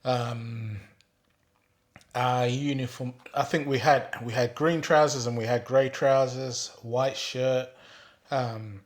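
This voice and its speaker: A man, reminiscing about his childhood in an unhurried way, often pausing with ums and restarting his sentences. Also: medium pitch. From England. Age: 60s. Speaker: retired father telling his life story. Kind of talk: reminiscing monologue reading out questions.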